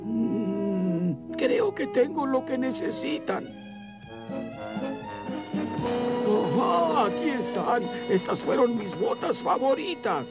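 Slow, theatrical old wizard. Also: male voice, very high voice, sing-song, over loud music, exaggerated voice, poor audio. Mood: pondering. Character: elderly male wizard, helpful, theatrical. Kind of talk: animated cartoon dub acting.

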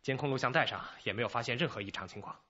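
A junior detective in a radio crime drama, excitable and animated. His mid-pitched voice is raspy, on a slightly dull recording. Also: racing. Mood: exasperated.

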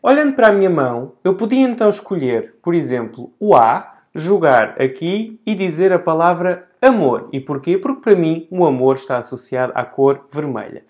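A man's voice: a little high, very animated, moderate pace, hardly any pauses, a little mellow, lo-fi sound. A man in his thirties with a European Portuguese accent, presenting a step-by-step tutorial in a didactic way, speaking directly to viewers. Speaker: board game channel presenter. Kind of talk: explanatory tutorial to camera. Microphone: lapel microphone.

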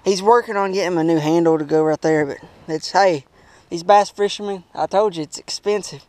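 A young man giving a playful, teasing tour of a bass boat outdoors on a lake, laughing often, recorded on an action camera. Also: brisk, darkish tone, no echo. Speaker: vlog host.

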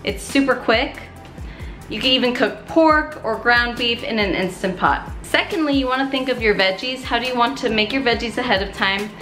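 A woman's voice: fairly high pitch, a bit bright. A young woman vlogging in her home kitchen, talking straight to the camera in an upbeat, chatty way and calling her viewers "you guys.". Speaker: health vlogger. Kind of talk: direct-to-camera tips vlog. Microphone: camera at close range. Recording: roomy kitchen echo, faint music behind.

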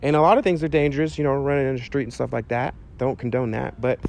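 A man in his thirties preaching outdoors to a camera, earnest and animated, talking casually with fillers. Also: mid-range voice, quick pace, gravelly. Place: outdoors by a chain-link fence on a sunny day. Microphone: handheld mic, medium camera shot.

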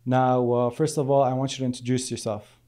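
A young man hosting a podcast with a mellow, warm tone and a mid-range pitch. He asks his questions plainly and directly. He speaks into a boom mic in a studio. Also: level tone, fairly quick. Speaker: podcast host. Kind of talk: podcast interview. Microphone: studio mic on boom arm.

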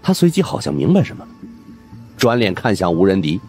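Male audiobook narrator, husky, lowish pitch, very animated delivery, dramatic, faint music behind. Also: brisk. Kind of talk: dramatic scripted narration of a supernatural mystery.

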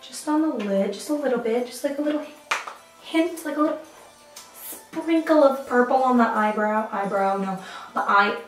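A woman's voice: a little high, normal speed, far from the mic. A young woman chatting casually through her makeup routine, playful and self-mocking, often laughing at herself, filmed on a camera mic in a small tiled bathroom. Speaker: beauty vlogger. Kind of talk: casual makeup vlog chat. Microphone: camera mic at arm's length. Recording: echoey bathroom, light background music.

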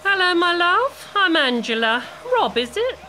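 A woman's voice: extremely high pitch, big pitch swings, measured pace, pushed and bright, a little hiss or hum, exaggerated voice. An actress playing a kindly, chatty British hairdresser in an audio play, motherly and full of endearments. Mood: bright, chatty. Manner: motherly, calls people "love".

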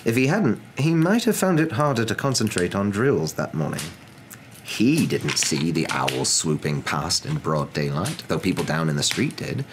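A young man narrating a storybook in an expressive, theatrical and deliberate ASMR reading style. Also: sits low. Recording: clear steady background ambience noise.

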